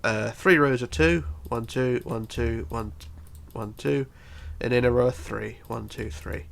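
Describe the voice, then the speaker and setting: A man's voice: mid-range voice, measured pace, gravelly. A man with a bunged-up, congested voice giving a casual step-by-step building tutorial, counting aloud methodically. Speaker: tutorial host.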